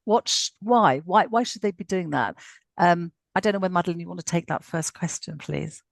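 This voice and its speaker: A woman's voice: lowish voice, moderate pace, creaky, no echo, clean studio sound. Chatty woman hosting a webinar. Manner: chatty, repeats words, many um fillers. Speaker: webinar host. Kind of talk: webinar panel discussion. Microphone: video call.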